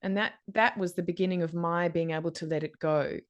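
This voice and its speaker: A woman's voice: fairly low pitch, fairly even intonation, fairly quick, lo-fi sound. A woman hosting a podcast interview, speaking conversationally and asking thoughtful, probing questions. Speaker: podcast host.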